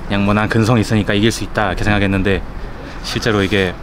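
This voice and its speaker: A man's voice: on the low side, little pitch movement, racing, slight background noise. A man in his forties, a fighter answering interview questions outdoors, blunt and self-deprecating. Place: outdoor rooftop by a pool. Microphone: held by interviewer.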